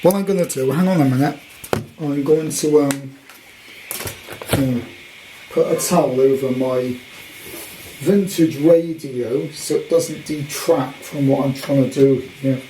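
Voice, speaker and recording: A man's voice: mid-range voice, a little slow, long pauses, mellow. A man giving an informal, rambling hands-on showcase as a collector, with jokey wordplay, recorded in a room at home. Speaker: vintage radio collector presenting his purchase. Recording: clearly noisy home recording.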